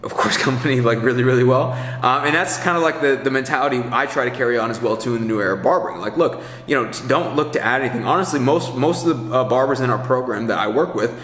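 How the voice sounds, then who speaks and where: A man's voice: medium pitch, fast talker, hardly any pauses, a bit bright, a little hiss or hum. A man in his thirties giving casual, unscripted coaching advice in a rambling, conversational way, thinking aloud as he goes. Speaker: business coach for barbers. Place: large indoor kitchen area with hard surfaces. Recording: echoey, reverberant hard-walled room.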